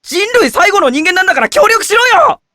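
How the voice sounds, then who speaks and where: A man's voice: very high-pitched, sing-song, very fast, bright, forceful tone, no room sound, studio quality, full character voice. A man voicing a gullible, melodramatic teenage schoolboy as the comic sidekick in a Japanese visual novel. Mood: frantic outrage. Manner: melodramatic, swinging from shrieks to mournful murmurs.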